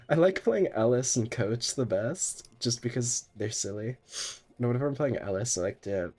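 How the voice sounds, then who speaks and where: A man's voice: mid-range voice, lively intonation, moderate pace, darkish tone. A young man streaming a game while sick, chatting in a rambling way and laughing often. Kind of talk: casual gaming stream chat.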